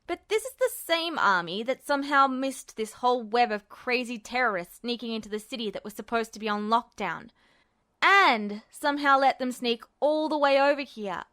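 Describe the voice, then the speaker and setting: Woman voicing high, anxious, defiant young guy. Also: animated, moderate pace, a bit bright, clean tone. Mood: dark, doubtful. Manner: agitated, muttering or gasping. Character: frightened, defiant young male, sullen and snappish.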